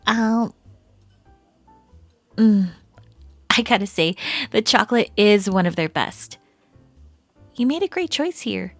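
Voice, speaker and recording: A woman playing a chatty, teasing female best friend in casual, animated roleplay audio. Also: mid-range voice, slow pace, long pauses, dry recording, soft background music, exaggerated voice.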